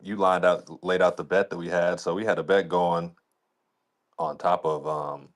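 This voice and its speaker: A man's voice: low-pitched, little pitch movement, moderate pace. A man talking casually as a league commissioner on a podcast, in a colloquial, rambling way peppered with "you know".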